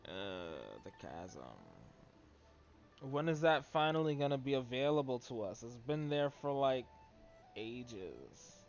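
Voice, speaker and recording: A man's voice: mid-range voice, expressive, slow pace, dull audio, soft background music. A man doing casual, offhand gameplay commentary as a streamer, in slangy reactions and mutters. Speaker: game streamer. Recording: clearly noisy stream audio.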